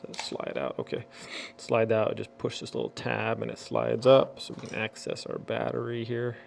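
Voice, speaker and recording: A man with a gravelly, creaky voice and a medium pitch talks casually through a product unboxing. He has a folksy, muttering way of thinking aloud while he works. There is faint noise behind him. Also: monotone, moderate pace. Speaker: unboxing video host.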